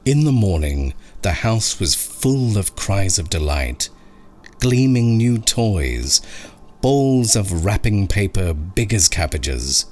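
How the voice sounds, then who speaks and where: A man narrating a scary short story in a husky voice, with slow, expressive, dramatic delivery. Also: on the low side. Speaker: narrator of horror short stories. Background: music under the voice.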